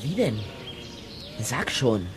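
A man's voice: medium pitch, big pitch swings, drawn-out words, background noise. An actor playing an earnest, probing boy detective in a children's audio drama.

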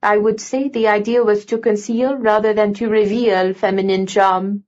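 A woman's voice: medium pitch, little pitch movement, normal speed, darkish tone, highs cut off, natural voice. A woman voicing a fussy, affectionate, bossy French female costumier in an audiobook, with an emphatic manner. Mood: doting, assured.

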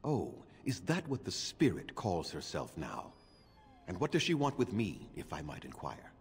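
Vengeful elven keeper, husky, formal and solemn, widely swooping delivery, long reverb, fantasy game. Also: male voice, low-pitched, huge pitch swings, normal speed, soft background music, full character voice. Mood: suspicious. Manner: formal, solemn, theatrical phrasing. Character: proud, bitter elven clan keeper, vengeful older man. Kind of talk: dramatic fantasy game acting.

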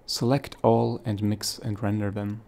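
A man hosting a software tutorial, giving plain step-by-step instructions. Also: lowish voice, little pitch movement, a little slow, creaky. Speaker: tutorial host.

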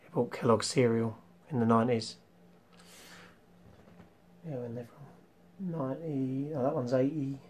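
A young man hosting a casual retro collection vlog, rambling with ums and clearing his throat, his voice slightly worn from losing it. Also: medium pitch, steady tone, normal speed, pauses often, a little mellow, slight background noise. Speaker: retro game collector vlogger. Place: small room full of toy shelves, indoors.

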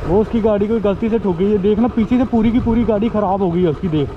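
A young man with a fairly high voice talks chattily to his viewers and keeps repeating his phrases. His voice comes through a dull, muffled helmet-camera recording. Clear street noise sits behind him. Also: fast talker. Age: young adult. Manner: chatty, repeats himself, addresses viewers as guys. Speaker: motovlogger. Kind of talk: casual vlog chat. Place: outdoors on city streets riding a motorbike, and at a house doorway. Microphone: helmet-mounted action camera.

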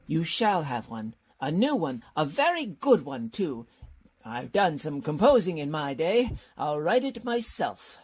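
A woman's voice: low voice, very animated, a little slow, a little mellow, husky, full character voice. A woman playing a bumbling, hesitant male college professor in an old-time style radio comedy. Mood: hesitant, polite. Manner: hesitant, dithering.

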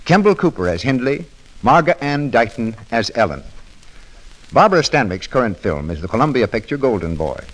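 A man's voice: mid-range voice, rough recording. An American man making formal, emphatic announcements in 1930s radio style.

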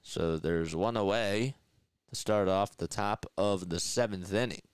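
Man with a Philly accent, play-by-play caller, headset mic, low smooth voice, dry close sound. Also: unhurried, dry recording. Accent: Philadelphia. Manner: chatty, laughs often, reads viewer comments aloud. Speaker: play-by-play livestream host. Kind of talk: live baseball commentary with chat reading. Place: small indoor room in front of a desk setup. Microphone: headset with boom mic.

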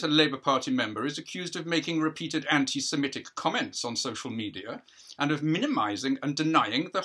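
A man's voice: medium pitch, pushed and bright, slightly rough, pitched above the performer's own voice, exaggerated voice. A man voicing a formal, officious prosecuting counsel in a stage play, precise and legalistic.

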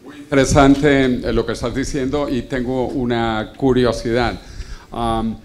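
A man's voice: little pitch movement, measured pace. A man putting a spontaneous question as an audience member at a live event. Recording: faint noise with low rumble.

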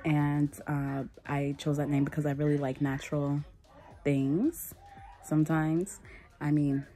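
A woman in her thirties chatting casually to her phone camera at home as a mom vlogger, relaxed and full of ums. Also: sits low, a little slow, soft background music. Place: small home room indoors. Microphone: phone held at arm's length.

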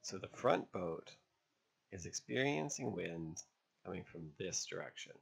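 A man narrating an instructional lesson as an instructor, explaining step by step in a measured way with pauses. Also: low voice, lively intonation, creaky, breathy edge. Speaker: sailing instructor. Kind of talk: instructional narration over drawn diagrams.

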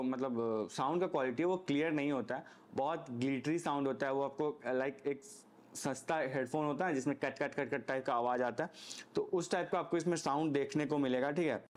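A man's voice: fairly high pitch, moderate pace, a little mellow. A man with an Indian accent giving a casual, unscripted product review, explaining point by point and often checking in with the listener. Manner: explanatory, frequently checks in with "okay". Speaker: motorcycle gear reviewer.

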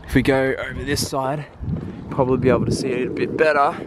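A man's voice: medium pitch, flat delivery, fast talker, heavy background noise. A young man filming himself outdoors while exploring an abandoned building, chatting casually and wondering aloud with plenty of fillers. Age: young adult. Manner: curious, rambling with fillers. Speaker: vlogger. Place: outdoors in overgrown abandoned site.

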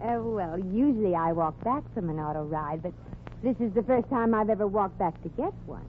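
An actress playing a brassy, flirtatious, quick-witted showgirl in a 1940s radio comedy. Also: mid-range voice, lively intonation, close to an everyday voice. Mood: coy, flirtatious. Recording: muffled, noisy 1940s radio broadcast recording.

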